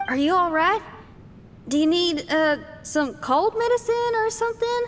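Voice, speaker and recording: A calm, helpful young female adventurer, speaking in a high voice that moves expressively. She sounds gentle, earnest and polite in a video game, with a faint rumble behind her. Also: unhurried.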